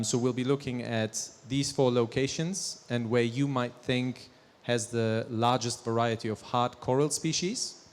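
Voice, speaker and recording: A man's voice: mid-range voice, a little mellow. A man in his thirties giving a conference presentation on stage with a handheld mic, talking conversationally with fillers and restarts. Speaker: company presenter. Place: large conference hall on stage. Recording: long hall reverb.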